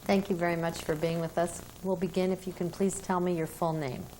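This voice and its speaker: Calm woman interviewer, lowish voice. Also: a little fast, slight background noise, low-quality recording. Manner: calm, plain, methodical questioning. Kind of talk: oral history interview questions.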